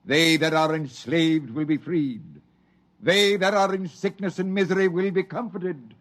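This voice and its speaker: A solemn old wanderer, speaking in a slow, preacher-like cadence. His voice sits a little high for a man. It comes from an old radio drama. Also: fairly even intonation, fairly bright tone. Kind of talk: 1950s radio drama acting.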